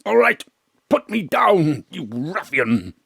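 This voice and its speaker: Boozy, paranoid veteran thespian. Grandiloquent, husky, very animated delivery for audio comedy. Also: male voice, a little high, slow pace, no room sound, clean studio sound, heavily acted. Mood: indignant. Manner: theatrical, grandiloquent declaiming. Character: pompous, drunken, paranoid old stage thespian. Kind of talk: comic audio drama acting.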